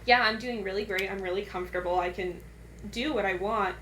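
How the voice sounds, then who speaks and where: A woman's voice: mid-range voice, a little fast, fairly bright tone, a little hiss or hum, poor audio. Upbeat young woman in her twenties. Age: 20s. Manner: upbeat, enthusiastic, laughs often. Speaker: tattoo artist and studio owner. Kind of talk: casual interview chat.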